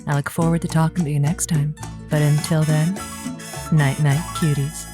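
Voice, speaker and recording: A woman playing a chatty, kindly but awkward lonely-hearts radio host in an audio drama, with a halting, playful, folksy delivery. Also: low voice, flat delivery, over music, lightly acted. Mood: affectionate sign-off.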